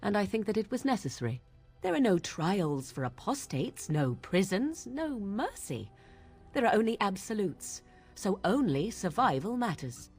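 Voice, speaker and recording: A woman playing an aloof, sardonic young witch of the wilds in a video game, with husky, archaic, measured phrasing. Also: mid-range voice, sing-song, darkish tone, soft background music. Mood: grave, insistent. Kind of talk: video game dialogue acting.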